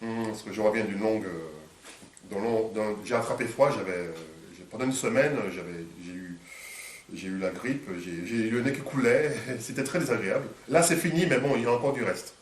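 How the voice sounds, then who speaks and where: A man interviewing, halting with many fillers and restarts, a little stuffed up from a cold. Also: lowish voice, a little hiss or hum. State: congested from a cold. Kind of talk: hesitant conversational interview. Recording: echoey room, distant mic.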